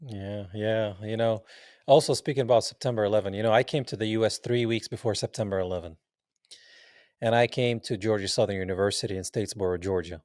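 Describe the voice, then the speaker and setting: A man's voice: fairly low pitch, fast talker, no room sound, studio quality. A man in his forties from Palestine, telling stories as an interview guest and acting out the dialogue with frequent laughs. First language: Arabic. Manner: animated, acts out quoted dialogue, laughs often. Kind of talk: casual interview storytelling.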